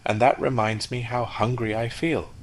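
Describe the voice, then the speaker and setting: A man's voice: mid-range voice, fairly even intonation, normal speed, pitched above the performer's own voice, close to an everyday voice. A man voicing a peaceable, chatty female duck with a raised, quacking voice.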